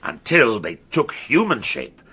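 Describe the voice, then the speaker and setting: An actor playing an imperious, pompous professor in a radio drama, with a British accent and a declamatory delivery that relishes the macabre. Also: male voice, mid-range voice, wildly swooping pitch, unhurried, bright, forceful tone, breathy edge, full character voice. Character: eminent male professor, pompous, imperious, theatrical lecturer.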